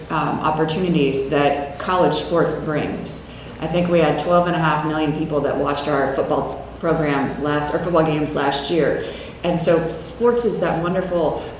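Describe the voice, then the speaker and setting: Woman executive, low voice, conversational press conference answers, heavy room echo. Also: darkish tone, recorded from a distance. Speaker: university athletic director answering questions. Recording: very echoey room with faint noise.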